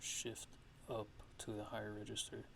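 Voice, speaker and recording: A man giving a casual, hushed ASMR-style software tutorial, explaining things in a halting, unscripted way. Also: fairly low pitch, monotone, gravelly, background noise. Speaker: music production tutorial host.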